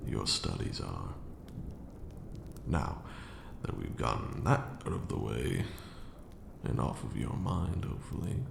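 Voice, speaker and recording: A man playing an ancient, haughty vampire lord and fond mentor in a slow, theatrical ASMR roleplay monologue, murmured in a deep, gravelly voice. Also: roomy sound, background noise, exaggerated voice. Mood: haughty, dismissive. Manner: theatrical, drawn-out, condescending.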